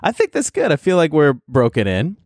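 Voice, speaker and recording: A man's voice: on the high side, wildly swooping pitch, darkish tone, no echo, clean studio sound. A man hosting a casual podcast, teasing his friends and stretching words out for emphasis. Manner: playful, teasing, drawn-out emphasis. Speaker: podcast host. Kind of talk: casual banter.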